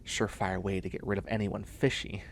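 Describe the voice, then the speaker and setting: A man's voice: fairly low pitch, level tone, a little fast, gravelly, slight background noise. A man playing a flustered, sardonic young man turning into a catboy in a comedic sci-fi audio roleplay, stammering with exasperation and sarcasm. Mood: uneasy, wry. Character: flustered, sardonic young man sprouting cat ears and tail.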